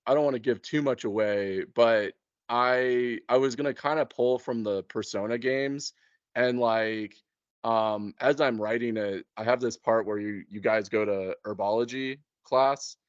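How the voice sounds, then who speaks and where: A man with a mid-pitched voice talks through tabletop game master plans in a loose, conversational way. He feels his way through explanations, often hesitating. The audio is close and dry, with a dull, lo-fi muffle. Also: measured pace, clean tone, no room sound. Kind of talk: casual chat.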